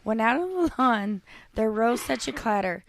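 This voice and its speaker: A young woman with a Southern accent, hoarse and losing her voice, reading a bawdy poem and laughing through it. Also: on the high side, lively intonation, moderate pace, slight background noise. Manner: giggly, brash, laughs mid-line. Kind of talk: crude casual banter and bawdy poem reading.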